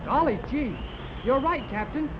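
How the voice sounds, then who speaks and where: Eager young lad, boyish and folksy, muffled old radio with heavy hiss. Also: male voice, very high voice, animated, a little slow. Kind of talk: 1930s radio drama acting.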